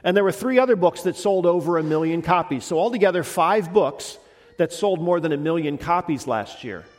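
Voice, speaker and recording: A middle-aged male pastor with a slight head cold, preaching a sermon in a conversational, meandering way with frequent restarts. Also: on the high side. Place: church sanctuary. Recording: long reverb of a church hall.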